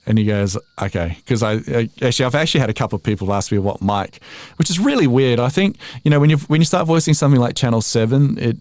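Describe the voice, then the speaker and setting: A man's voice: mid-range voice, animated, fast, darkish tone, no room sound, studio quality. An Australian man in his forties, a voiceover artist talking casually as a podcast guest, chatty and self-deprecating with frequent restarts and laughs. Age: 40s. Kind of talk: casual interview chat.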